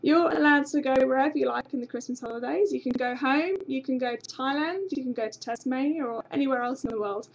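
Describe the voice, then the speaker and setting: A woman's voice: sits high, fast talker, vocal fry, hardly any pauses, lo-fi sound. A young woman from England with an English accent slightly tinged Australian, chatty and informative, presenting a university webinar from a small office on a webcam. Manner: chatty and informative, frequent ums. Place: small office with banner behind. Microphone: webcam at desk.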